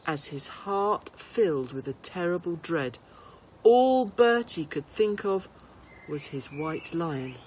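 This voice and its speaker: A woman reading a children's storybook aloud in an expressive narrating style. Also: lowish voice, slow pace, a little hiss or hum. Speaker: teacher reading to pupils.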